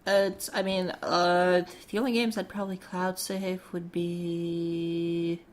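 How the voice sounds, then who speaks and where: Lower-pitched woman in casual podcast chat. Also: flat delivery, slow pace. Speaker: podcast co-host.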